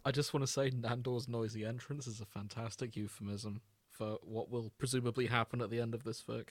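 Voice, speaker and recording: A man's voice: medium pitch, steady tone, a little fast, dry recording. A man co-hosting a podcast with casual, joking chat. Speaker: podcast co-host.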